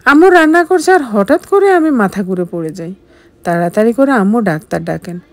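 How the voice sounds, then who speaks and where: A woman's voice: mid-range voice, lively intonation, fairly quick. A woman reading a scripted, emotional first-person story in a dramatic, melodramatic manner. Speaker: story narrator.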